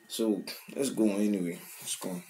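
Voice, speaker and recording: Young Nigerian guy reacting on webcam, lowish voice, gets loud then mumbles. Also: a little fast, slight background noise. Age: 20s. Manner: emotional, animated, swings from loud outbursts to quiet mumbling. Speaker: music reaction host. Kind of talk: casual reaction commentary. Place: small room indoors. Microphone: webcam at desk, wearing headphones.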